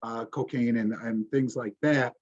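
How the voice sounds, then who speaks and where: A man's voice: mid-range voice, steady tone, a little mellow. Cop guy w a going thru his slides, lots of um and uh, kinda dull muffled audio. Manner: informal, halting, many ums and restarts. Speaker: police officer presenting to the community. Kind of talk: presentation over slides.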